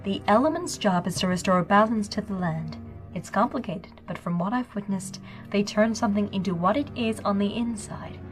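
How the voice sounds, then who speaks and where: A woman playing a regal elder pony princess in an audio drama, calm, stern and solemn in a measured way. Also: medium pitch, natural voice. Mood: grave, calm. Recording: music behind the voice.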